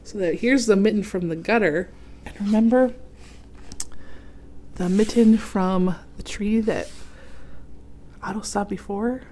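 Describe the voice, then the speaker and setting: A woman hosts a children's story time at an unhurried pace. She reads expressively in a mid-pitched voice and pauses for asides, speaking straight to her young audience. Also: a little hiss or hum. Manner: expressive, talks directly to children, explains as she goes. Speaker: read-aloud host for children. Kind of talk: animated picture-book read-aloud with asides to young listeners.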